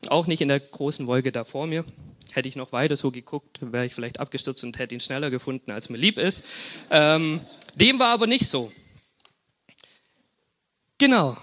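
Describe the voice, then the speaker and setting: A young man with a Swabian accent preaching in a church hall, talking in a relaxed, chatty way with many fillers and restarts. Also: mid-range voice, normal speed, long pauses. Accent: Swabian German. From Swabia, Germany. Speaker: guest preacher. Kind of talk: casual sermon with personal stories.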